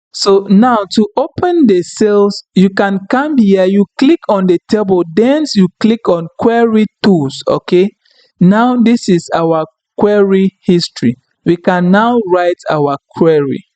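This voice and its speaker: A man whose first language is French, walking viewers through a software tutorial and checking in often with "okay?" and "right?". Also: high voice, dry recording, lo-fi sound. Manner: explanatory, frequent "okay?" and "right?" check-ins. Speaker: tutorial instructor. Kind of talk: screen-recorded software tutorial.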